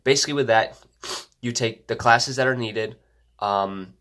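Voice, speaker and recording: A man's voice: medium pitch, normal speed, sharp tone. A stuffed-up young man talking casually to his camera at home, rambling with many ums and restarts. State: congested, stuffed-up nose. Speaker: vlogger. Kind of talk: casual vlog talk to camera.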